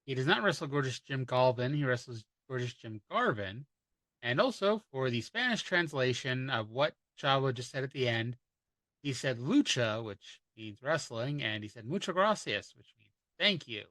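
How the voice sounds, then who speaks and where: A male podcast host recaps in a casual, wry way, swearing freely and drifting into asides. His voice is bright and forceful, and the recording is dry and close. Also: mid-range voice, big pitch swings, moderate pace, no room sound. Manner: wry, profane, rambling asides.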